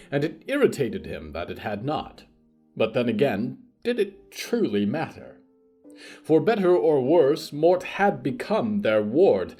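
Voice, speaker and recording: A man delivering formal, theatrical scripted narration for a horror fiction podcast, in a measured storytelling manner with a husky voice. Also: mid-range voice, mellow.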